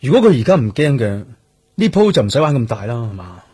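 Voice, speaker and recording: A brooding, stubborn police detective in a radio drama. He has a husky, mid-pitched male voice and speaks Hong Kong Cantonese. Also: very animated, quick pace, darkish tone, no echo. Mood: stubborn, cool.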